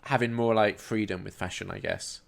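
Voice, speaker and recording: A man hosting a podcast in casual chat, asking prompting questions and dropping in quick agreements. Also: fairly low pitch, steady tone, gravelly. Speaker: podcast host.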